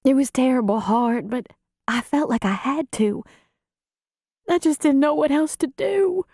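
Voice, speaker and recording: Audiobook role: female reader as anguished middle-aged farm grandmother; high, soft-toned, quavering, pleading. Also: no echo, clean studio sound. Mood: troubled, pleading. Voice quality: quavering, wailing voice. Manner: pleading, halting, folksy plain speech. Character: distraught rural grandmother, weary, pleading new mother. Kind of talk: audiobook character dialogue.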